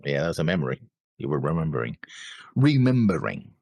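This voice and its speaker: A man co-hosting a reaction show, chatting casually about a series in a halting way, repeating his phrases. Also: rumbling low, sing-song, creaky, darkish tone, dry recording. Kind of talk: casual discussion of an animated series.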